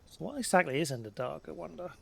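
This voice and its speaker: A man giving casual, unhurried let's play commentary, musing to himself as he plays. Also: a little high, very animated, creaky, a bit bright, slightly rough. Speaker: let's play video creator.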